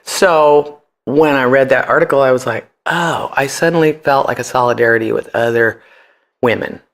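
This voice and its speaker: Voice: mid-range voice, normal speed. A middle-aged person with an American accent tells stories candidly as an interview guest, in slangy, profane language, acting out the voices in arguments. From United States. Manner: animated, profane, slangy, acts out quoted arguments. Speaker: podcast guest, punk band singer.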